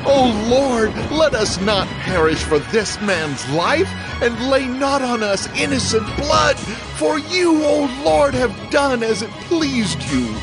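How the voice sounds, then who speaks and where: A desperate seaman at prayer in an audio Bible drama. He pleads slowly in a very high male voice, with clear music underneath. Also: expressive, exaggerated voice, low-quality recording. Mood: desperate pleading. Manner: pleading, drawn-out. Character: desperate, pleading sailor praying to God.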